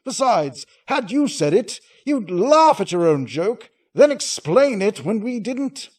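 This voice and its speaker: A man's voice: sits high, big pitch swings, takes it slowly, darkish tone, far from the mic, full character voice. A man voicing a haughty, scholarly supervillain with pompous, precise, disdainful speech in a scripted comedy skit. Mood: snooty.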